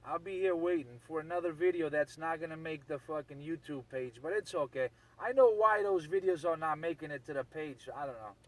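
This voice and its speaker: A man's voice: a little high, brisk, hardly any pauses, rough recording. An insistent man, ranting casually and repetitively as a CB radio operator.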